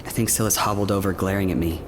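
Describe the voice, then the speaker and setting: A man acts a troubled hero telling his past in a fantasy radio drama. His lowish voice is subdued and reflective, with clear ambient noise behind it. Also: fairly even intonation, quick pace, close to an everyday voice. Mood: haunted, reflective. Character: haunted young male adventurer recalling enslavement. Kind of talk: fantasy radio play acting.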